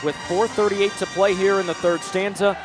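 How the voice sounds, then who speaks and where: A man doing live radio play-by-play of a basketball game from an arena, projecting his calls and getting animated on big plays. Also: high voice, normal speed, over music. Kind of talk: live sports commentary. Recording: noisy live arena broadcast, bouncing balls.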